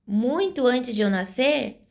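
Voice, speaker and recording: A woman's voice: fairly high pitch, expressive, takes it slowly, gravelly, darkish tone. A Brazilian woman in her forties giving her opinions to camera in an earnest, explanatory way, thinking aloud as she talks. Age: 40s. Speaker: vlogger.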